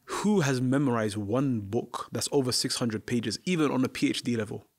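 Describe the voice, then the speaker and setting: A man's voice: medium pitch, normal speed. A man who teaches the Quran, speaking as a podcast guest in an emphatic, argumentative way full of rhetorical questions. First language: not Arabic. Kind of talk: podcast conversation.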